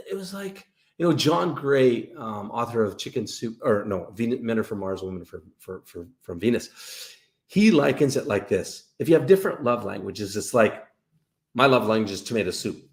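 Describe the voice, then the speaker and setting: A man with a gravelly edge and an animated, widely swinging pitch hosts a casual livestream. He explains haltingly and emphatically, often repeating himself to drive a point home. The sound is roomy and echoey. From Canada. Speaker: host of a live dating-advice show. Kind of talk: casual livestream chat with viewers.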